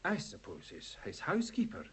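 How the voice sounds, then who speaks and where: An anxious young English solicitor in a vintage radio mystery. He is polite and stammers nervously in a fairly high, husky voice with very agitated rises and falls. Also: wildly swooping pitch, moderate pace, fairly bright tone, full character voice. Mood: nervous, recounting. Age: young adult. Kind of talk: 1950s radio drama acting. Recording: old 1950s radio recording.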